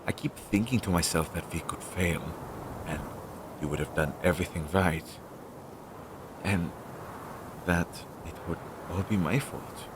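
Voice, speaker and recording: Audio drama familiar, male actor: nervous, self-doubting and devoted, slow hesitant delivery in a low register, clearly noisy recording. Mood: worried. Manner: hesitant, halting, fretful. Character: anxious, self-doubting magical familiar devoted to his master. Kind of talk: scripted audio roleplay, one-sided dialogue. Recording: low-fidelity audio with clear background noise.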